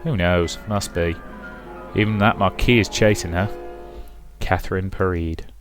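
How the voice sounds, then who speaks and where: A man's voice: low-pitched, steady tone, a little slow, over music. A man voicing gossiping, leering concert-goers in a visual novel, with a dismissive manner.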